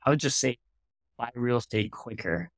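A man's voice: mid-range voice, animated, moderate pace, fairly bright tone, dry recording, clean studio sound. A man in his thirties, a real estate investor, talking in a loose, rambling conversational way as an interview guest. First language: Hungarian. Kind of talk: casual interview chat.

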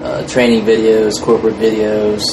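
Wry, low-voiced man in a noisy room. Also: completely flat delivery, normal speed. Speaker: actor being interviewed. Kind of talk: casual joking interview chat. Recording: noisy room with air-conditioning hum.

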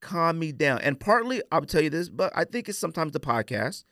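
A man's voice: on the high side, sing-song, fairly quick, a bit bright, dry recording, clean studio sound. A man chatting casually as a podcast co-host, rambling candidly and using plenty of slang.

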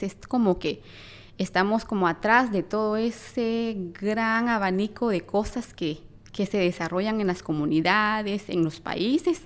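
Young female activist guest with a Guatemalan accent, medium pitch, earnest explanatory answers. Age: young adult.

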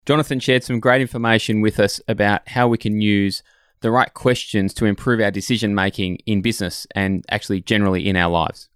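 A man co-hosts a podcast and reads out the episode introduction. His delivery is measured and scripted. His voice is smooth and resonant, pitched on the low side, and recorded dry and close. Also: steady tone, fairly bright tone, dry recording. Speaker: podcast co-host.

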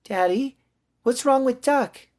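A man's voice: extremely high pitch, slow, darkish tone, voice pushed up. A man voicing a small, earnest little girl in a storybook, innocent and worried, with a childlike, pleading delivery. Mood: worried, insistent.

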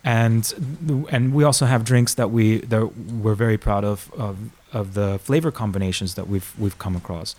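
Low-voiced bar owner, halting and self-mocking. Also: male voice, steady tone, darkish tone. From born in Argentina, grew up in Florida. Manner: hesitant, many restarts and fillers, laughs at himself. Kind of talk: casual interview chat.

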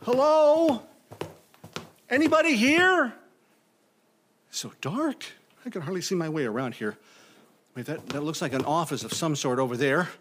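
An actor playing a nervous, imaginative office temp in a comedic audio drama, stammering and talking to himself. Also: male voice, on the high side, lively intonation, measured pace, pauses often, fairly bright tone, slight background noise. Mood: nervous, confused. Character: jittery, imaginative male office temp, easily unnerved.

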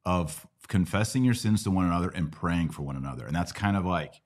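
Very deep-voiced American man teaching conversationally. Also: lively intonation, fairly quick. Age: middle-aged. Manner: didactic, prompts with questions and rhetorical checks. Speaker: podcast host and Bible teacher. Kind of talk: conversational live Bible Q&A teaching.

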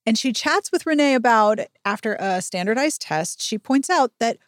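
A woman's voice: on the high side, expressive, moderate pace, no room sound. Woman, middle-aged podcast host. Casual recap chat, dry studio sound, sarcastic asides.

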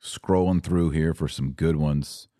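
A man's voice: a bass voice, fairly even intonation, unhurried, dark tone, no room sound, studio quality. A man hosting a live podcast, reading and answering viewer comments in emphatic, punchy bursts of short phrases. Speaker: podcast host.

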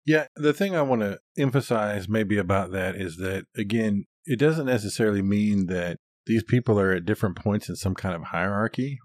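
A man's voice: lowish voice, normal speed, few pauses, no room sound, studio quality. A man co-hosting a podcast, talking in a casual, reflective and thoughtful manner. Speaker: podcast co-host.

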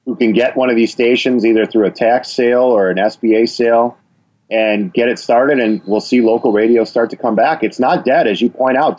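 A man's voice: mid-range voice, darkish tone, poor audio. A man from Wisconsin with a Wisconsin accent, talking as an interview guest and stressing his points with repeated phrases. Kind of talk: interview about radio policy.

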